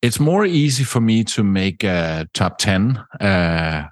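A man talking casually about travel, halting and often repeating his words. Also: lowish voice, big pitch swings, no room sound, clean studio sound. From Denmark.

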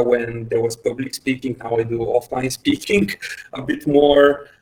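A man's voice: medium pitch, a little mellow. Hesitant Bulgarian-born man, distant mic. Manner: hesitant, many fillers and restarts, light laughs. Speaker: guest, head of engineering. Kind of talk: casual interview answers.

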